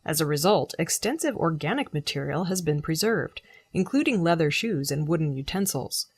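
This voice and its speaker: A woman reading scripted news as a presenter, in a measured, formal delivery. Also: low-pitched, lively intonation, a little mellow, slightly rough, dry recording. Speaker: news reader.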